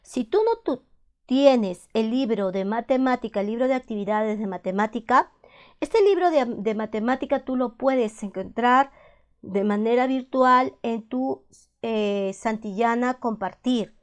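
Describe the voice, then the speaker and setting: A woman's voice: on the high side, a little slow. A woman math teacher, patiently explaining algebra step by step, repeating each point and asking checking questions. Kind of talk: slow step-by-step classroom explanation.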